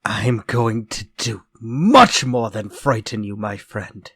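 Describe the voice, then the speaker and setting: An actor playing a sinister, vengeful ex-convict murderer in retro radio drama style, speaking in a slow, theatrical, menacing way. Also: male voice, lowish voice, a bit bright, husky, no echo, clean studio sound, heavily acted. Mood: vengeful menace.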